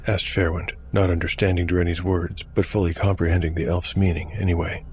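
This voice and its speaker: A man's voice: low-pitched, flat delivery, fairly quick, a little mellow, slight background noise. A man hosting an audio drama podcast, speaking in a scripted storytelling style. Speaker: host, narrator and game master of an audio drama podcast. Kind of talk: scripted fantasy storytelling narration.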